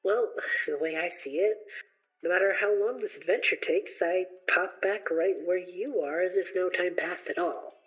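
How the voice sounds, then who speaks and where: A woman playing an excitable young male student adventurer, breezy and showy, in an audio drama. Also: fairly low pitch, moderate pace, husky, highs cut off, faint music behind. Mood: breezy, unbothered.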